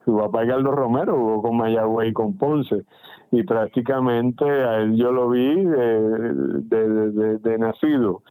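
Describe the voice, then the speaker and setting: Mellow Puerto Rican man, halting. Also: medium pitch, smooth, dry recording, poor audio. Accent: Puerto Rican Spanish. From Puerto Rico. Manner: halting, many restarts and fillers. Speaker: baseball general manager. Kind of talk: radio interview answers.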